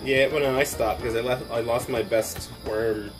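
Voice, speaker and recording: This is a man in his thirties with a mid-range voice, talking in a wry, laughing way during a casual chat among friends. He is recorded by a camera in an indoor room, and music plays clearly underneath. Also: mid-range voice, fairly even intonation, moderate pace. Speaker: guest friend. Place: indoor room with wood wall backdrop.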